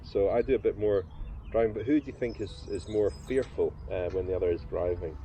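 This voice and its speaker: A man's voice: on the low side, steady tone, a little fast, warm tone, slight background noise. A man hosting a podcast, asking questions and teasing his co-host in casual chat.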